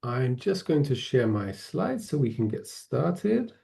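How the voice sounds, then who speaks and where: A man, presenting an online webinar over a video call, often pausing with fillers and restarting his sentences. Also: medium pitch, sing-song, gravelly, warm tone. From Poland, grew up in England. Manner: hesitant, many fillers and restarts.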